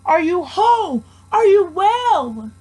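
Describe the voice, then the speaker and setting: A woman's voice: extremely high pitch, big pitch swings, slow, a little mellow, voice pushed up, full character voice. A woman voicing a kind, earnest, devoted elephant in a storybook, with a heartfelt manner. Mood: anxious calling. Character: kind, earnest, devoted male elephant, anxious for tiny friends.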